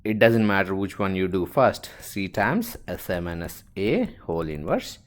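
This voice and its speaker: A man teaching a step-by-step worked example as an instructor, halting and thinking aloud as he writes. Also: sits low, very animated. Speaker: engineering instructor.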